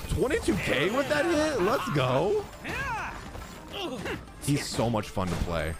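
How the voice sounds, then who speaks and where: A man's voice: fairly high pitch, wildly swooping pitch, slow pace. A man in his thirties giving casual, slangy live reactions as a game streamer, close on a desk microphone. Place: small indoor gaming room. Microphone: close desk microphone, headset on. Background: game music.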